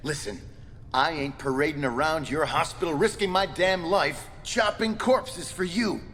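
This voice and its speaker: An actor playing a tough, foul-mouthed, short-tempered soldier in a video game, with a gruff, threatening, slangy delivery. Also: male voice, on the high side, unhurried, fairly bright tone, big-room sound. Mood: irritated, threatening. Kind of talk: video game action acting.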